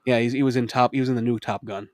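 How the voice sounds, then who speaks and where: Excitable laughing man, casual podcast chat. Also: medium pitch, rapid-fire, no echo, clean studio sound. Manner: excitable, laughs a lot, swears freely. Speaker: podcast co-host.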